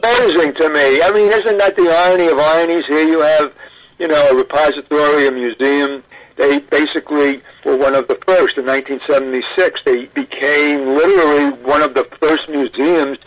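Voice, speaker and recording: A man's voice: fairly high pitch, low-quality recording. An older American man telling his story conversationally as an interview guest, stressing points emphatically. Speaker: interview guest, audio archivist.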